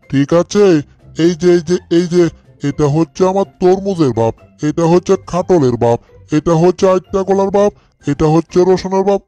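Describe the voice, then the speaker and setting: Guy doing a big mama croc, highish mellow voice, doting, dry sound w/ soft music. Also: no room sound, natural voice. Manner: fond, repetitive, motherly address. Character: big doting mother crocodile, fond and bossy. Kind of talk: cartoon fan dub.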